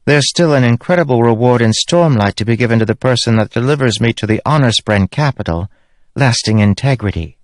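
A man's voice: mid-range voice, lively intonation, a little fast, no room sound, studio quality, pitched above the performer's own voice, lightly acted. A man voicing a vain, childlike female spirit in a small voice for a fantasy audiobook. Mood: cheeky, defensive.

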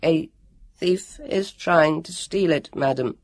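A woman's voice: low voice, takes it slowly, a little mellow, dry recording. A woman voicing a stoic, injured male android in an audiobook, formal and precise, with a strained voice. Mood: formal, urgent. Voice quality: forced, strained, scratchy. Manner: stiff, precise, formal wording. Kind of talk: audiobook character voice.

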